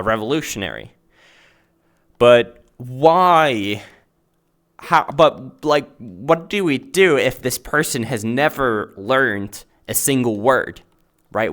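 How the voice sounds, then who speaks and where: Slow, halting man teaching, native Finnish speaker. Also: medium pitch, fairly bright tone. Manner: halting, searching for words, often checking with "right?". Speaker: host and math teacher. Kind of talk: informal explanatory lesson. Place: virtual reality chat world.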